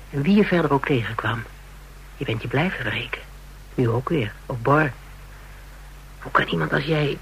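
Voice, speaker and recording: A man's voice: mid-range voice, vocal fry, fairly bright tone, low-quality recording. A man acting in a Dutch radio drama as a level-headed, sardonic character in a tight spot, speaking in a clipped, probing way. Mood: quiet, accusing. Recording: hissy, humming radio drama recording.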